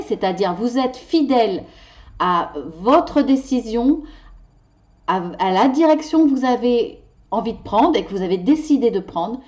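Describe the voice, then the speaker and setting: A woman reading tarot cards in a weekly video, passionate and animated, tripping over her words as her ideas run ahead. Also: on the high side, lo-fi sound. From Italian heritage. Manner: animated, talks with her hands. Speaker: tarot card reader.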